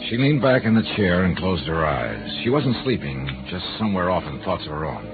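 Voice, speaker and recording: An actor playing a wry, worldly insurance investigator with clipped hardboiled delivery in a 1950s radio drama. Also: male voice, low voice, big pitch swings, normal speed, over music. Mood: intrigued. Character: wry, worldly male freelance insurance investigator narrating his case. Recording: muffled, band-limited 1950s radio recording.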